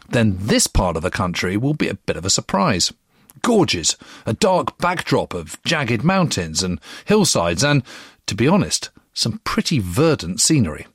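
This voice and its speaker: A man's voice: medium pitch, no room sound, studio quality. A man hosting a history podcast, narrating conversationally with playful asides. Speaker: podcast host.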